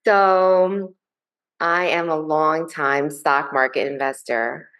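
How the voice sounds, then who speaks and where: Female panel guest, low voice, slow deliberate delivery. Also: steady tone, a bit bright, clear, resonant tone. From grew up in New York City. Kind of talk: casual self-introduction.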